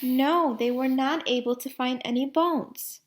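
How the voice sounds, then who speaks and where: A woman reading a children's storybook aloud like a teacher, deliberately, putting questions to young listeners. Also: high-pitched.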